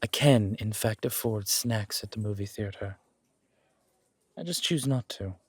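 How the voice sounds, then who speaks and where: A man's voice: lowish voice, a little slow, husky, dry recording. A man acting a playful, affectionate mafia boss on a date in a romantic audio drama, with a casual, teasing manner. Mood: relaxed, teasing. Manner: teasing, casual, mock-indignant, swearing.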